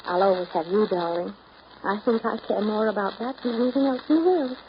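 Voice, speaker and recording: A woman's voice: medium pitch, natural voice. Needy, restless actress as a woman, muffled old radio. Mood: clinging, pleading. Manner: pleading, emotional, halting and dramatic. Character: restless, needy, self-pitying woman afraid of getting old. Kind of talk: 1930s American radio drama acting. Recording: muffled, noisy 1930s radio broadcast recording.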